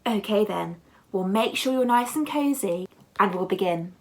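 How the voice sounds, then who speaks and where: A woman reading a bedtime story to young children in an expressive, theatrical, deliberate way. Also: medium pitch, slight background noise. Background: music.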